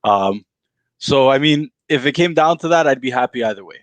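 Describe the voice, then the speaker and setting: A man's voice: mid-range voice, lively intonation, normal speed, no echo. A man on a podcast rambling through a family story in casual chat, with frequent restarts. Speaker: podcast co-host.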